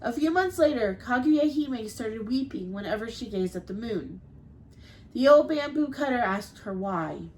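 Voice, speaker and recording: A young woman with a cold reads a folk tale for a podcast, stumbling and restarting now and then. Her voice sits a bit high, with faint noise underneath. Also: animated, moderate pace, darkish tone, rough recording. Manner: reads with small slips and restarts. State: has a cold. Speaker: podcast co-host. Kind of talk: reading a folk tale aloud, then casual chat.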